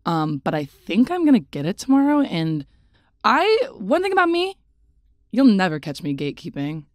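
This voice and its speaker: A young woman with a low, raspy voice, co-hosting a podcast in bubbly, jokey casual chat. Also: very animated, normal speed, dry recording. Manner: bubbly, jokey, laughs often. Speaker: podcast co-host.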